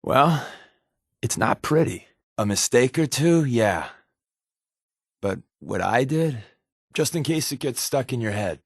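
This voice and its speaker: A man's voice: medium pitch, animated, takes it slowly, long pauses, slightly rough, no echo, clean studio sound, heavily acted. A man with an American accent playing a wry, evasive spy companion in a video game, talking conversationally with hesitant asides. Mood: wry, casual.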